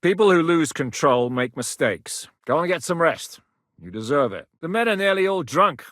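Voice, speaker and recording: A man's voice: fairly high pitch, lively intonation, moderate pace, a bit bright, no echo. An actor playing a grizzled male war captain in a medieval video game, blunt, commanding and dryly sardonic, recorded in a studio booth. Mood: advising. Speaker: mercenary leader in a medieval game.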